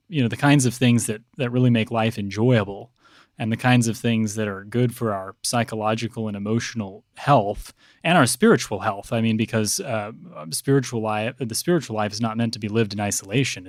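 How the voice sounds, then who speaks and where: A man speaking as a priest and interview guest, reflective, with measured, halting, thoughtful phrasing. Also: lowish voice, no room sound, clean studio sound.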